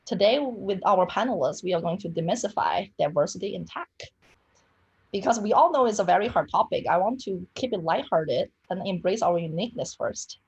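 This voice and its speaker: A woman in her thirties moderating a webinar panel, friendly, with simple phrasing and brisk introductions. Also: medium pitch, breathy edge, highs cut off. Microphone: video call.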